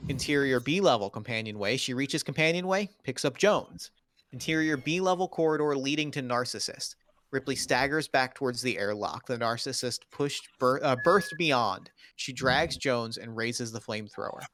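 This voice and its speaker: A man's voice: medium pitch, moderate pace, a bit bright. A man narrating screenplay stage directions in dramatic, clipped phrases for a table read.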